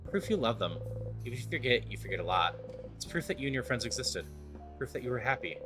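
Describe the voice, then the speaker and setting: A man's voice: mid-range voice, very animated, quick pace, natural voice. A man playing an earnest, wistful game narrator, reading the text dramatically in the second person. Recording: music behind the voice.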